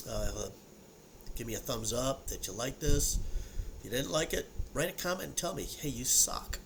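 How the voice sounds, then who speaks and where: A man's voice: mid-range voice, background noise. Congested man in his sixties, rambling. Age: 60s. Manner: rambling, repeats words, many fillers. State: congested, sniffly. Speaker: whiskey review vlogger. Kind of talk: casual solo tasting chat. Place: at home in his armchair.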